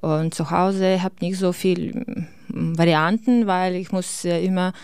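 A woman from Ukraine, a photographer in her forties, answering interview questions in halting German with many fillers. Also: fairly low pitch, fairly even intonation, darkish tone, clear, resonant tone, no echo. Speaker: guest photographer. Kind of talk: radio interview.

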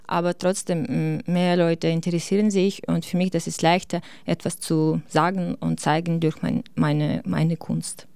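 A woman from Ukraine, a photographer in her forties, answering interview questions in halting German with many fillers. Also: fairly low pitch, flat delivery, vocal fry, darkish tone, clean tone, dry recording. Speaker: guest photographer. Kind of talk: radio interview.

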